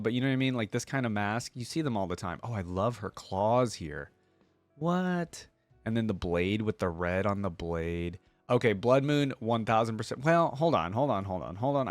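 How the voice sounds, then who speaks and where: A man's voice: fairly low pitch, animated, brisk, dry recording, soft background music. A man hosting a reaction video, giving informal, enthusiastic commentary and thinking aloud as he reads and looks things over. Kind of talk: casual reaction commentary with some reading aloud.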